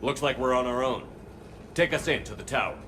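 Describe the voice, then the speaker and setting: A man's voice: medium pitch, fairly quick, background noise, lightly acted. An actor playing a resolute human male military commander in a video game, speaking in a terse, firm manner. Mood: commanding.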